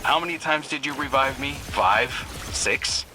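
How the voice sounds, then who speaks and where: A man's voice: medium pitch, a little slow, natural voice. A man acting a young, earnest and self-deprecating warrior in game dialogue, conversational and teasing. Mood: rueful. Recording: clear game background noise.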